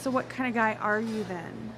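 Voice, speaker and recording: A woman playing a brash, flirtatious, sharp-tongued date in a live radio drama, blunt and dramatic. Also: on the high side, moderate pace, slight background noise. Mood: frustrated.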